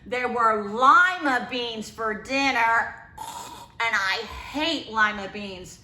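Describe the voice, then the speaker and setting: A woman's voice: a little high, slow pace, bright, forceful tone, exaggerated voice. A woman voicing a grumpy, aggrieved little boy in a storybook, whining and drawing out his complaints. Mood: disgusted. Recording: echoey, roomy sound.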